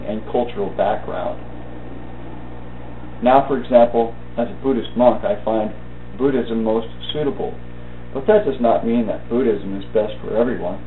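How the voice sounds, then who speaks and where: Male reader for a reflective essay, lowish pitch, measured halting phrasing, thin phone-like sound. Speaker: reader of a thought-for-the-day video. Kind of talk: read-aloud personal essay, meditation. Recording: tinny band-limited audio, roomy echo, faint hum.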